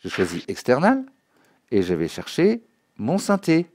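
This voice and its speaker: A man giving an informal spoken tutorial as a music software trainer, explaining as he demonstrates and often checking that listeners follow. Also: mid-range voice, huge pitch swings, measured pace. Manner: conversational, checks understanding often with short tag questions. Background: music and synth demo sounds.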